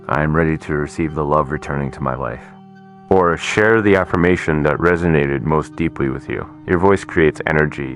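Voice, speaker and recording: Man, extremely deep voice, slow soothing meditation guide, faint music behind. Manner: slow, soothing, softly spoken.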